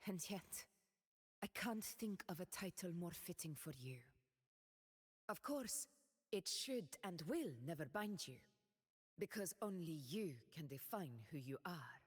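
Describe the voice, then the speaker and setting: A solemn regal warrior ruler. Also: female voice, on the low side, animated, unhurried, slightly rough, in a large hall. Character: proud noble woman ruler, steadfast warrior. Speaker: game character voice lines. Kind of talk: game voice lines.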